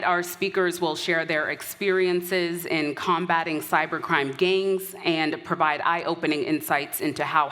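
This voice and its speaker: A woman's voice: deep voice, fairly bright tone. A woman host reading prepared introductions at a live event. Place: large event hall. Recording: hall reverb.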